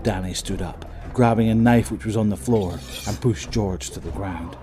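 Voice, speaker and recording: Male narrator, lowish pitch, dramatic and suspenseful scripted horror storytelling, measured phrasing, music bed. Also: mellow. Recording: voice over prominent music.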